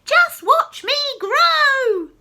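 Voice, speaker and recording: A woman's voice: extremely high pitch, sing-song, extremely slow, bright, forceful tone, voice pushed up, exaggerated voice. A woman reading a children's story aloud, voicing a wild old grandmother with a screechy voice. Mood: gleeful, shrieking. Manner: shrieking, gleeful and demanding.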